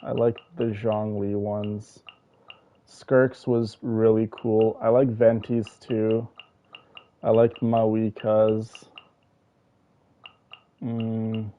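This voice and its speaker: A man's voice: on the low side, little pitch movement, extremely slow, long pauses, phone-quality sound. A man streaming a game, chatting casually and rambling as he plays. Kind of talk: casual gameplay commentary. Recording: voice over game music.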